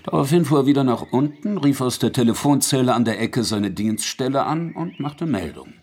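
A man in a German radio drama playing a sly, polite investigator who speaks deliberately with insinuating courtesy and quiet menace. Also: mid-range voice, expressive, husky. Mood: coolly methodical.

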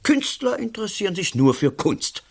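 An actor playing a domineering, smug and sly politician in a radio play, with a condescending, theatrical, emphatic delivery. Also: male voice, high-pitched, sing-song, normal speed, vocal fry, exaggerated voice. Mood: sly, cynical. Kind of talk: German-language radio drama acting.

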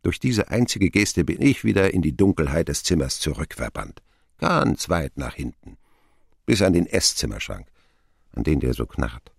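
Male radio drama narrator, very low husky voice, wry, sardonic, expressive, dry close sound. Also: normal speed, no echo, full character voice. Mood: downcast. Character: wry grown man recalling his unhappy boyhood.

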